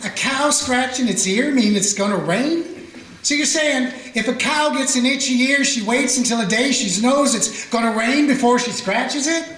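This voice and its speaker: A man's voice: extremely high pitch, normal speed, a bit bright. A man playing a folksy small-town frontier sheriff who narrates in a drawling, plainspoken way. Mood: scoffing. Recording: roomy, echoey room.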